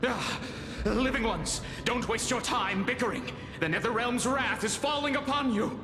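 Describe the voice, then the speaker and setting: Ominous, unusually high-voiced man. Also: moderate pace. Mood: foreboding. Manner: foreboding, formal warnings. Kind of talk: fantasy game voice acting. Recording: echoey, music behind.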